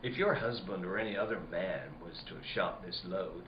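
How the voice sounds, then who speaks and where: An actor playing a middle-aged rural sheriff in a radio play, speaking a folksy rustic dialect in a deliberate, roundabout way. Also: male voice, deep voice, lightly acted. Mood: insinuating. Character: slow-talking rural sheriff, folksy, probing and insinuating. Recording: dull, roomy, faint background noise.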